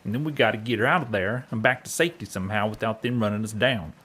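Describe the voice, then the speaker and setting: An actor playing an earnest, folksy cowboy drifter with a husky American Old West drawl in a western comedy audio drama. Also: male voice, on the low side, fast, a bit bright. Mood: resolute. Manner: folksy, rustic grammar, colorful exclamations. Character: earnest cowboy drifter Lionel, excitable, resolved to do right.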